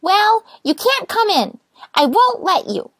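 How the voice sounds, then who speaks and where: A little grumpy rosebud girl, as a woman reader puts her on for a children's storybook. Her voice is very high and leaps about with indignant emphasis. The sound is close and dry. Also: very animated, takes it slowly, fairly bright tone, no room sound, full character voice. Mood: annoyed. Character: small grumpy female rosebud who wants quiet.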